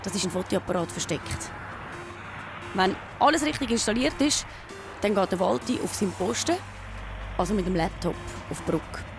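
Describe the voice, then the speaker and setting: A Swiss woman narrates a children's documentary as its reporter. Her voice sits at an ordinary female pitch, and the script is delivered over clearly audible music. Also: lively intonation, normal speed, pauses often.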